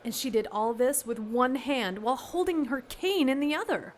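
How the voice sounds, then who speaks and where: A woman's voice: high voice, moderate pace, natural voice. An actress playing a worried, earnest woman seeking help in an audio drama.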